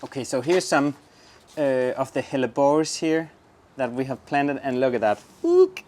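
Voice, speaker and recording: An enthusiastic man with a Danish accent walks through a garden, chatting casually about what is in bloom. He keeps exclaiming over the plants and pointing things out. His voice sits at an ordinary middle pitch for a man. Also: big pitch swings, moderate pace, a little mellow. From southern Denmark. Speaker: garden show host. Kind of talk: casual garden tour chat. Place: outdoor garden.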